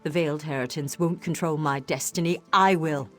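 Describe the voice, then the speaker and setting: Troubled young elf sorceress female actor, fantasy game, lowish voice, intense and defiant, light music behind. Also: steady tone, normal speed, lightly acted. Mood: resolute. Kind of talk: video game character dialogue.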